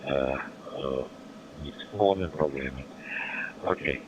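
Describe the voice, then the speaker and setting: German ham operator, man, booming low voice, hesitant English, hissy muffled signal. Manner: halting non-native English with fillers. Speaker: radio amateur. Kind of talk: ham radio contact. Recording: muffled noisy radio signal.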